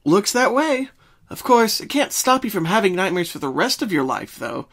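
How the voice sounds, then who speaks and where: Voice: mid-range voice, very animated, moderate pace, fairly bright tone, pitched above the performer's own voice. A performer voicing a caring, bookish young female unicorn pony who speaks earnestly and precisely in a cartoon fan reading. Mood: reassuring. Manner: concerned, precise, articulate.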